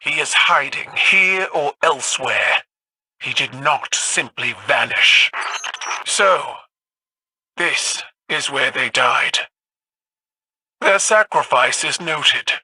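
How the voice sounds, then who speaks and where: An actor playing a proud, stern, vengeful alien military ruler in a video game, clipped and imperious, heard through a radio filter. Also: male voice, mid-range voice, lively intonation, slow, pauses often, sharp tone, slightly rough, no echo, heavily acted. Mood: suspicious, solemn. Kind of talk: video game dramatic voice acting.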